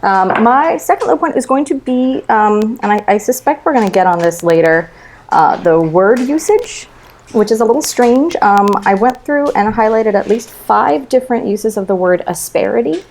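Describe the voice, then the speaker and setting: A woman's voice: on the high side, expressive, moderate pace, a little mellow, rough recording. A woman speaking as a podcast guest in a casual book discussion, wry and sarcastic, swearing casually. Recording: noticeable background noise.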